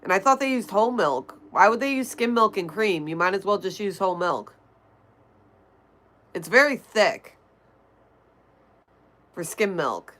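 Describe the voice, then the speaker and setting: A woman's voice: mid-range voice, animated, measured pace, pauses often, fairly bright tone. A woman hosting a casual livestream, reading viewer comments aloud and chatting back to them. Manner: chatty, answers comments directly. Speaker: livestream host. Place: home in Connecticut.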